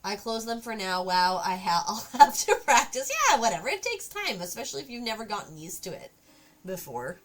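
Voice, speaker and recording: A woman's voice: medium pitch, big pitch swings, brisk, a bit bright, slight background noise. Congested woman chatting while she sculpts. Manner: chatty and musing, trailing off with fillers. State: congested from a cold. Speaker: live-streaming sculptor. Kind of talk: casual live-stream chat while working.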